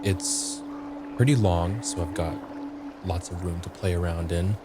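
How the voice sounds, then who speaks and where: A man performs a mischievous river sprite for a tabletop game. The creature draws out its words playfully and savors them, with music clearly behind it. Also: deep voice, unhurried. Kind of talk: tabletop roleplay in character.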